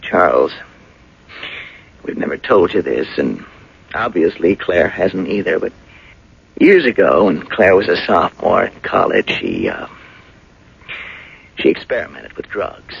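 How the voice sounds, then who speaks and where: A troubled older father in a radio drama, earnest and halting. His voice is very raspy and gravelly, on a dull old broadcast. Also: low voice, sing-song, exaggerated voice. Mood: reluctant, troubled.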